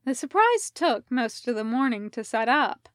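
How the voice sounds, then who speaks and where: A woman's voice: a little high, sing-song, normal speed, no room sound, studio quality. A woman reading a story aloud as scripted narration. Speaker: podfic reader. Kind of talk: scripted narration of fan fiction with acted dialogue.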